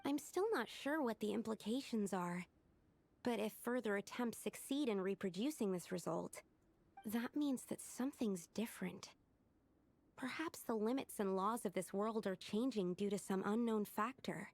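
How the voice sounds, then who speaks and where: A woman's voice: medium pitch, moderate pace, darkish tone, close to an everyday voice. A woman as studious, precise young alchemist. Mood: thoughtful. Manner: precise, explanatory. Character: studious young female alchemist, earnest and analytical. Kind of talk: video game voice acting.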